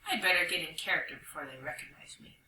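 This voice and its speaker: Lady doing a young hyena girl in a kids story, worried n sneaky, muttering to herself, really bright voice. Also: lowish voice, quick pace, reverberant, distant mic, rough recording. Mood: realizing, sly. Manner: murmuring private thoughts to herself. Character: young female hyena, worried but crafty.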